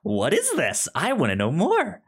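A man's voice: high voice, wildly swooping pitch, unhurried, breathy edge. A man chatting casually about books as a podcast co-host, stammering and repeating sounds and words as he goes. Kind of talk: casual book discussion chat.

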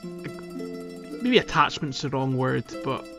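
A man's voice: mid-range voice, animated, a little slow, gravelly, music in the background. A man hosting a casual gaming podcast, often searching for his words. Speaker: podcast host.

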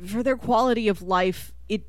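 A woman chatting casually about film as a podcast co-host, with an animated, enthusiastic and giggly manner. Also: mid-range voice, normal speed, no room sound. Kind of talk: casual chat.

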